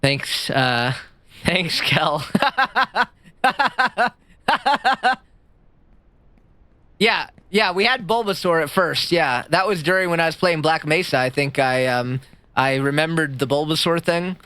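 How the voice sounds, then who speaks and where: A man streaming live with a squeaky voice, chatting with his chat in a rambling, jokey way that jumps up high when he gets excited. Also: slow, dry recording. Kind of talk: casual livestream chat reading chat. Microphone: streaming mic.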